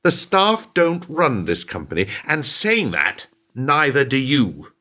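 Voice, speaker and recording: A very animated man acting as a hard, bitter company boss in a radio drama. His pitch swings widely as he speaks with proud, emphatic scorn and sarcasm. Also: takes it slowly, exaggerated voice. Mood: scornful, sarcastic.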